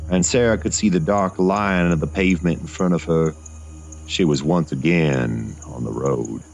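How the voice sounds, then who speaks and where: A man playing a long-lived, solemn, gracious storyteller narrating a gothic horror tale for a fiction podcast. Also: sits low. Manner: folksy, formal, courteous address.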